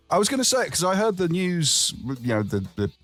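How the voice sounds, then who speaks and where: English dude on a podcast, dry teasing jokes, lively voice, dry sound. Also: male voice, sits high, normal speed, no echo, soft background music. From England. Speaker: podcast co-host. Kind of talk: casual chat.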